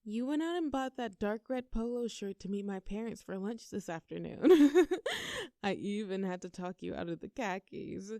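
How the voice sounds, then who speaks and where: A young woman plays a bubbly, doting girlfriend in an audio roleplay. Her voice is warm and mellow at a middle pitch, recorded dry and close. She teases and coaxes her partner tenderly, as if chatting privately. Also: expressive, normal speed, no echo, lightly acted. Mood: affectionate, insistent. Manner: playful, coaxing, talking tenderly to a partner. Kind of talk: intimate audio roleplay, scripted to sound conversational.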